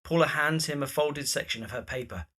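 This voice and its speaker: A man's voice: on the high side, a little fast, sharp tone. A man reading scripted, present-tense scene narration for an audio drama.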